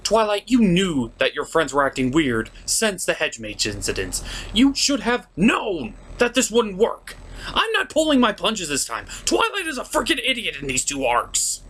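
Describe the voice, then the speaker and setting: A man's voice: high voice, huge pitch swings, moderate pace, pushed and bright, husky. A man hosting a comedic scripted cartoon review in a theatrical, sarcastic and exasperated manner. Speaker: host of an online puppet review show.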